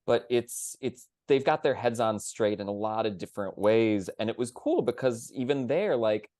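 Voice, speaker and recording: A man's voice: mid-range voice, animated, normal speed, darkish tone, dry recording, studio quality. Enthusiastic man chatting on a panel. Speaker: theatre panelist. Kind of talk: casual panel discussion.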